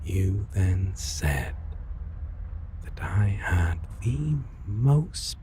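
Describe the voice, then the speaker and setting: A very slow, low-voiced male vampire, acted by a man, murmurs to a lost love in a dark romantic audio roleplay. He is tender yet possessive, with formal, antique wording. A clear noise sits behind the voice. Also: sing-song, full character voice. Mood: tender, wistful. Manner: slow, intimate, hushed delivery with formal old-fashioned phrasing.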